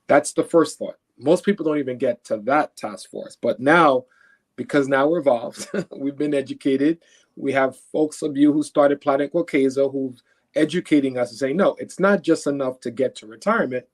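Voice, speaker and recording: An earnest man from Haiti answers interview questions at a mid pitch. He talks conversationally, restarts and repeats words while he thinks, and keeps checking in with the listener. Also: moderate pace. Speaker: guest.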